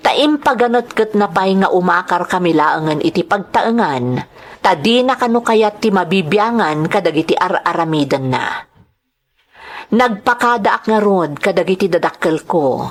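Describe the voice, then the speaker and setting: A woman's voice: lowish voice, lively intonation, moderate pace. A woman with an Ilocano accent acting a distressed young married daughter in an audio drama, speaking in an earnest, emotional way. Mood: sorrowful, confiding.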